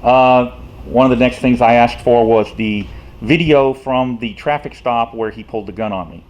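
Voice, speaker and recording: A man, a citizen activist, talking casually to the camera in a frustrated, rhetorical way, halting often with fillers. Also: medium pitch, a little mellow.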